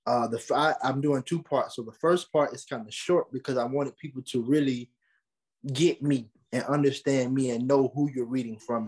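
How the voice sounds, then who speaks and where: A man's voice: medium pitch, a little mellow. A man, answering interview questions conversationally. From South Carolina, USA. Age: late teens. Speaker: interview guest, podcaster and motivational speaker.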